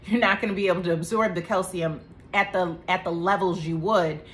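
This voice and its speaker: A woman in her thirties talks to camera about health in a chatty, joking way. Her voice sits a little low. A faint room hum lies under the recording. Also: fairly even intonation, fairly quick, a bit bright. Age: 30s. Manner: chatty, joking asides, faith talk. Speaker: vlogger. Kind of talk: casual health talk to camera.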